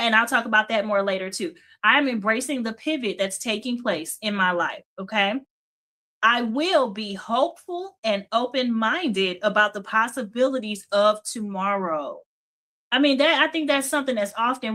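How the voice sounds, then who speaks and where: A middle-aged woman hosts a live stream in a bright, forward voice that sits a little high. She chats and encourages, calls her viewers y'all and greets them by name. Her voice is strained, as if she is close to losing it, and she pushes through anyway. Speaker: host of a live faith and business show.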